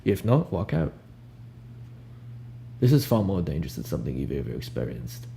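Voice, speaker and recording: A young man improvising a soft-spoken ASMR roleplay as a cool, ominous recruiter, talking in a casual, blunt, unhurried way over hiss and hum. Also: sits low, wildly swooping pitch, gravelly. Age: young adult. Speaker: ASMR roleplayer playing a job interviewer. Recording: audible background hiss and hum.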